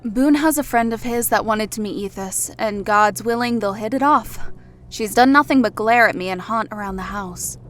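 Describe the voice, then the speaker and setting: A woman's voice: a little high, moderate pace, a bit bright, close to an everyday voice. A woman playing a cool, composed fantasy woman with a dry, icy edge in an audio story. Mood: dry, annoyed.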